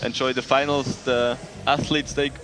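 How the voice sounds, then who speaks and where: A man's voice: medium pitch, flat delivery, moderate pace, background noise. A man commentating casually at a sports event in an informal, upbeat manner with non-native English phrasing. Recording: music playing behind the voice.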